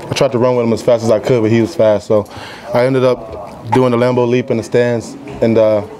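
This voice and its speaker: A young man talks quickly and cheerfully at a postgame press conference. He rambles through his answers and leans on casual fillers, sounding happy after the win. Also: medium pitch, flat delivery, mellow. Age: young adult. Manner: upbeat and rambling, with many fillers. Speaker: college defensive end.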